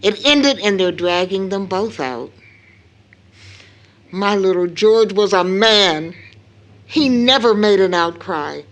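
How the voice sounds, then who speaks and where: A woman playing a grieving, proud widowed mother in an audio drama, telling her story solemnly with weighted pauses. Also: medium pitch, lively intonation. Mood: haunted, grieving.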